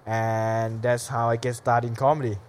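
A man's voice: medium pitch, little pitch movement, normal speed, slight background noise. Thai-accented man telling a rambling story. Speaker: comedian. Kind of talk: casual storytelling on a podcast.

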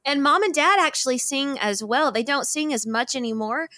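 A woman's voice: sits high, animated, moderate pace, sharp tone, no room sound, clean studio sound. A woman of about twenty with a Texan accent, chatting casually as an interview guest. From Texas, United States. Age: 20s. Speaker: interview guest, gospel singer.

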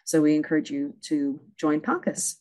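A woman's voice: sits low, normal speed, darkish tone. A woman hosting a virtual conference panel over a video call, friendly and talking with frequent fillers. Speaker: conference moderator.

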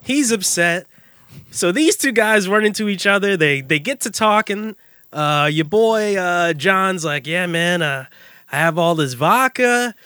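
Animated, high-pitched man spinning a yarn. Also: a little slow, smooth, no echo, clean studio sound. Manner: animated, giggly, slangy. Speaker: podcast host telling a story. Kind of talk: casual comedic storytelling among friends.

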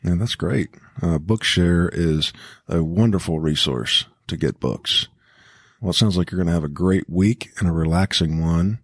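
Deep, gravelly man hosting a chat. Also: normal speed, no echo, studio quality. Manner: folksy, enthusiastic, often checking in with "right?". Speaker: podcast host. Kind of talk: casual chat.

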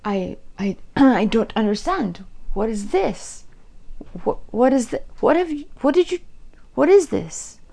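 A woman voicing a bewildered, remorseful king who stammers and speaks in a hush. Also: on the low side, monotone, unhurried, gravelly. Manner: stammering, hushed.

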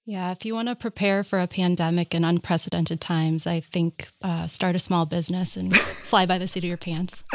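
Wry, low-voiced woman guest. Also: fairly even intonation, normal speed, hardly any pauses, noisy background. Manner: wry and laughing. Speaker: guest, co-founder. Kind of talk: casual interview.

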